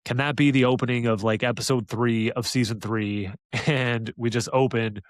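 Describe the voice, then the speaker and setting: A man co-hosting a casual fan podcast, talking excitedly and pitching ideas with laughs. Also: normal speed, dry recording. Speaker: podcast co-host.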